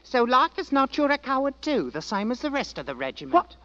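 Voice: high voice, very animated, a little fast, sharp tone, no echo, heavily acted. An actor playing a bold, cocky young British army fifer boy with a Cockney accent, in an old radio drama. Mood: goading. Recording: dull vintage 1940s-50s radio recording.

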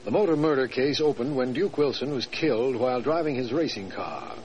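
A man's voice: mid-range voice, expressive, a little fast, a little mellow, natural voice. Man acting a formal old-radio district attorney. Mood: businesslike. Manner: formal, expository. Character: official, businesslike male district attorney narrating. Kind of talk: 1940s radio drama narration. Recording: noisy vintage 1940s radio recording.

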